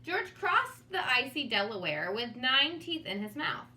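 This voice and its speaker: A woman's voice: high-pitched, very animated, a little slow, pushed and bright. A woman reading a storybook aloud for children in a dramatic, teacherly manner, with asides to young listeners. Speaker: storybook reader. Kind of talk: expressive read-aloud for children.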